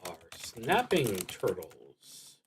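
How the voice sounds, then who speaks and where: A man with a mid-range voice commentates on his solo roleplaying session as he plays. He speaks very slowly and deliberately, often pausing in the middle of a thought as he works things out aloud. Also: medium pitch, huge pitch swings, slight background noise. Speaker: streamer playing a solo tabletop roleplaying game. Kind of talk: live solo game commentary, thinking aloud.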